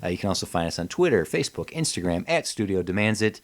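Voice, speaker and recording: A man's voice: deep voice, very animated, quick pace. A man hosting a casual comedy podcast, joking and exclaiming as he chats. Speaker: podcast host.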